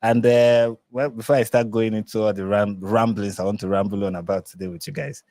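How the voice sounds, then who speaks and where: A low-voiced man from Nigeria hosts a live stream in casual chat. He rambles, restarts his sentences and leans on fillers. The sound is dry and close. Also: a little fast, mellow, no room sound. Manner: rambling, many fillers and restarts, laughs often.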